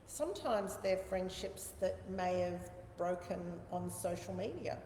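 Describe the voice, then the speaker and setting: A woman's voice: lowish voice, animated, measured pace, mellow. A middle-aged woman with an Australian accent, chatting reflectively and giving her opinions as an interview guest. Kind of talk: casual conversational interview. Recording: echoey reverberant room with background noise.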